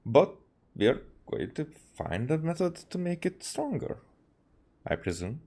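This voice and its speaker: A man giving casual video game playthrough commentary, haltingly thinking aloud as he goes. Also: a little high, very animated, vocal fry. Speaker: game streamer. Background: soft game music.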